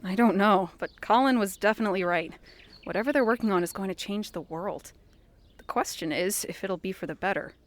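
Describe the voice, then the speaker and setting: A woman's voice: mid-range voice, a little fast, close to an everyday voice. A woman playing an inquisitive, easily alarmed young reporter in an audio fiction podcast, exclamatory and full of questions. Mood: intent, curious.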